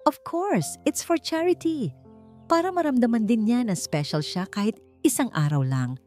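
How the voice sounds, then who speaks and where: A woman's voice: a little high, expressive, moderate pace, a little mellow, voice pushed up, natural voice. A woman voicing a cruel, haughty rich bride in an audio drama, taunting and falsely sweet, over music. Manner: taunting, switches to sugary sweetness.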